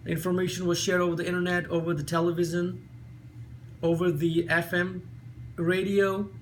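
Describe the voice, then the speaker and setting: A man from Nepal with a Nepali accent, reading an earnest talk with careful pauses and small slips. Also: sits high, little pitch movement, a little hiss or hum. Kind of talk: read-aloud video talk.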